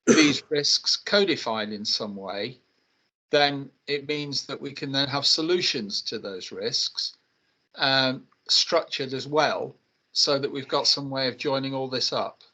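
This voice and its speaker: A man giving an update in an online meeting, explaining in a measured, hesitant way with many fillers. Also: mid-range voice, pushed and bright.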